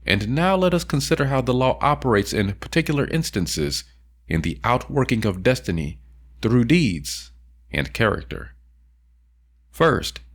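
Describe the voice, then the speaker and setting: A man reading a philosophical audiobook in a measured, emphatic way with deliberate pauses. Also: medium pitch. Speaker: audiobook reader. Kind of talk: scripted audiobook narration of a philosophical text.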